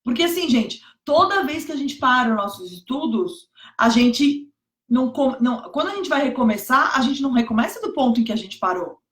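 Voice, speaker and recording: A hoarse woman teaching exam preparation on a live stream, emphatic, repeating key points and checking that viewers follow. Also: sits high, very animated, a little fast, fairly bright tone. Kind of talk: motivational live stream talk. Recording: echoey room.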